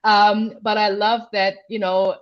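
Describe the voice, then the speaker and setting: A woman's voice: medium pitch, level tone, unhurried, highs cut off. A woman from Austria, a guest coach on a video call panel, speaking earnestly and reflectively, thinking aloud with restarts.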